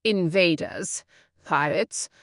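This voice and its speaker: Woman reading aloud with lively intonation. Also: fairly low pitch, slow pace, creaky, no room sound, clean studio sound. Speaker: exam practice reader. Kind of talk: scripted read-aloud of practice passages.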